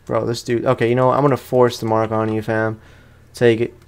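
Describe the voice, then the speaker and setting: A man's voice: mid-range voice, flat delivery, brisk, creaky, a little hiss or hum. Young man doing slangy gaming commentary. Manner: slangy, informal. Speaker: gaming YouTuber. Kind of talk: casual let's play commentary.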